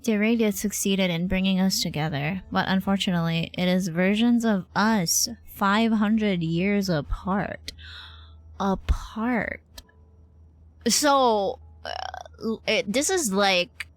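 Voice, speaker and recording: A woman game streamer chatting casually live, thinking out loud, exclaiming and swearing freely. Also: mid-range voice, slow, no room sound. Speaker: streamer reacting to a role-playing game. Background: game music behind the voice.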